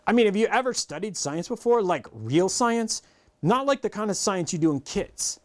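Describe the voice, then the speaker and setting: A man's voice: high voice, very animated, normal speed, pitched above the performer's own voice. A man voicing a smug, condescending, sarcastic schoolboy in a storybook read-aloud.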